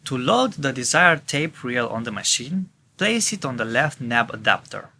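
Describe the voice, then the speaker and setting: Bright-voiced man narrating a technical tutorial. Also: medium pitch, gravelly, slight background noise. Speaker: instructor. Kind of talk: scripted instructional narration.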